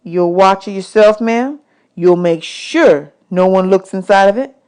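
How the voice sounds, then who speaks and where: A woman's voice: medium pitch, measured pace, a little mellow, clear, resonant tone, lightly acted. A woman voicing a polite, earnest young orphan boy in a storybook, in a plain folksy manner. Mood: anxious, polite.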